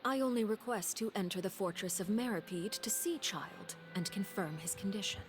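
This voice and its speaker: A woman's voice: mid-range voice, moderate pace, close to an everyday voice. A woman voicing a coolly menacing, commanding diplomat in a video game, formal and controlled. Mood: insistent. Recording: game music behind.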